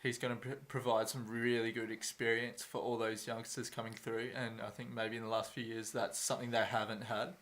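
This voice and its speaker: A man's voice: medium pitch, flat delivery, brisk, few pauses, a bit bright. Easygoing man co-hosting. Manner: easygoing, agreeable, many fillers. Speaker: podcast co-host. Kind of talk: casual sports chat.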